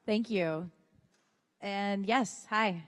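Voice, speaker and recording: Woman moderator, slow unhurried cues, big reverberant room. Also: mid-range voice. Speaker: panel moderator. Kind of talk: short moderator interjections. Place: large convention hall. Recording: reverberant convention hall.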